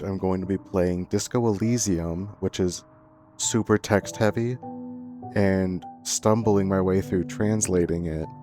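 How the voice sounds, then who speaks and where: Young man, very low voice, earnest and reflective, halting phrasing, soft music underneath. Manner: earnest, thoughtful, with pauses and restarts. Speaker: content creator. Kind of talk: reflective storytime monologue. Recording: faint background music.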